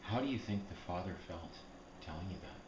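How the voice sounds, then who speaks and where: Male interviewer with a very deep, lively voice; keeps chiming in with quick affirmations. Echoey room with background noise. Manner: frequent short affirmations. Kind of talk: casual interview chat.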